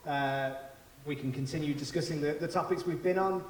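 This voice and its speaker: A man's voice: fairly high pitch, a little fast. British man chairing a panel in a hall. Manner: relaxed, hesitant, thinking aloud. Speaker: panel moderator. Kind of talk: chairing a round table. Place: large hall. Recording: echoey hall with faint hiss.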